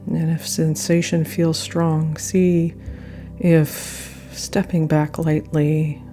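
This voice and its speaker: Low, mellow woman guiding meditation. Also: flat delivery. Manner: gentle, unhurried phrasing with pauses. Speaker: yoga nidra guide. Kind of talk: guided meditation narration. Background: soft meditation music.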